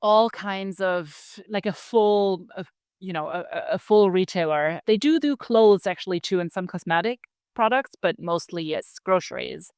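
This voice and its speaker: A woman's voice: medium pitch, normal speed, a little mellow, smooth, dry recording, studio quality. A woman in her thirties with a German accent, a guest talking casually in an interview, full of "like" and "you know". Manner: chatty, many fillers like "like" and "you know".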